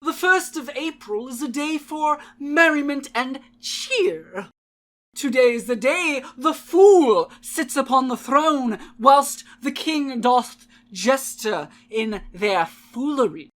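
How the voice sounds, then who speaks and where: A high, theatrical voice of a woman declaims like a merry jester herald in an old stage play. The delivery is grand and very slow, stretching words out with mock-archaic solemnity and playful caution. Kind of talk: dramatic reading of a mock-Shakespearean monologue.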